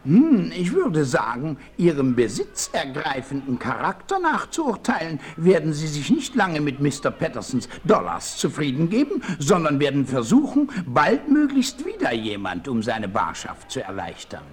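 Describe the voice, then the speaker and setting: Swooping, high-voiced comic frontiersman. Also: wildly swooping pitch, moderate pace, few pauses. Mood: comic pondering. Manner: theatrical, wordy. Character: quirky, brave comic frontiersman mistaken for a woman. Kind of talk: 1960s German radio play acting. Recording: slightly noisy old vinyl record.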